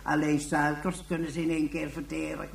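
Courteous elderly explainer, low creaky voice, animated intonation, halting, radio play, echoey room. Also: female voice. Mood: explanatory. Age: older. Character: courteous, knowledgeable elderly person, leisurely storyteller.

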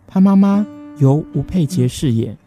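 A male late-night radio DJ with a Taiwanese Mandarin accent. His voice is very mellow and dark, a little high for a man, over faint guitar music. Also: animated, moderate pace. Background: soft guitar music.

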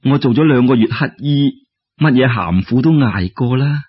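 Storybook young bride performed by a man, Cantonese, earnest and long-suffering, husky, muffled sound. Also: medium pitch, lively intonation, moderate pace. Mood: gentle reassurance. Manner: pleading, heartfelt.

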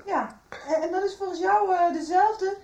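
A woman's voice: extremely high pitch, normal speed, mellow, reverberant, lo-fi sound. An actress playing a puzzled, casually skeptical young woman in a nineties radio soap. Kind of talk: 1990s radio soap acting.

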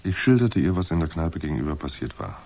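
Deep-voiced, sardonic hard-boiled private detective. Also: male voice, sing-song, fast talker, vocal fry, darkish tone, heavily acted. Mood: wry narration. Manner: wry, unflappable, mock-courteous. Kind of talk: German radio crime drama, with first-person narration. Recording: roomy echo with faint noise.

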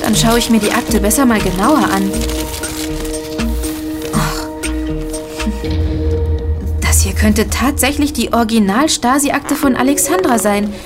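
An actress playing a bold, quick-witted young amateur sleuth with an emphatic manner in an audio drama. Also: female voice, a little high, over loud music, lightly acted. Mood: shocked. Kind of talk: German audio drama acting.

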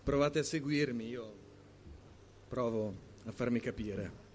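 A man's voice: medium pitch, slow pace, a little hiss or hum. A man performing a spoken-word story as a poetry reader.

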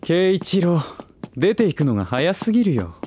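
A man playing a suave, middle-aged gentleman who teases with smug, playful condescension in a voice drama. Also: on the high side, very animated, moderate pace, a little hiss or hum. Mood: teasing. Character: suave teasing older man, playfully villainous. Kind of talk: voice drama acting.

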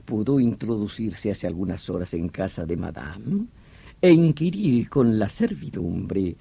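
Vehement, mellow-voiced police detective, swooping emphatically. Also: male voice, fairly low pitch, expressive, measured pace, vocal fry, breathy edge, no room sound, heavily acted. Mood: explanatory. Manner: emphatic, theatrical, explanatory. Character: vehement veteran police detective obsessed with hunting a vampire villain. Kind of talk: radio drama acting.

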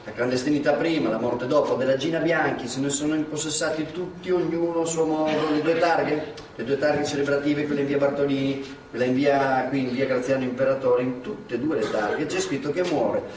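An actor playing an inquisitive, doubting interviewer who gathers memories, questioning and repeating phrases rhetorically in a stage drama. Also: male voice, medium pitch, fairly even intonation, brisk, lightly acted. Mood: sceptical, reflective. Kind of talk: theatrical stage narration and dialogue. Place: theatre stage. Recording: echoey theatre, distant mic, faint noise.

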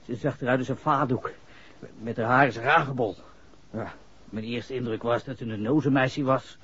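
A man's voice: mid-range voice, fairly even intonation, moderate pace, a bit bright, husky. An actor playing a nervous, shifty young man under police suspicion, stammering slangy lines in a 1960s radio drama. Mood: defensive, uneasy. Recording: muffled vintage radio recording.

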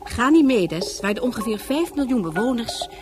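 A woman's voice: on the high side, animated, moderate pace, music in the background, close to an everyday voice. A woman narrating a science fiction radio play in a solemn, declamatory, scripted manner. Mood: solemn, evocative.

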